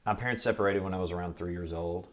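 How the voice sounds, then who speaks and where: An American man giving a recorded oral history interview, recalling his life in a measured, reflective way. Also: sits low, fairly even intonation. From Kentucky, United States. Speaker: interviewee, military veteran.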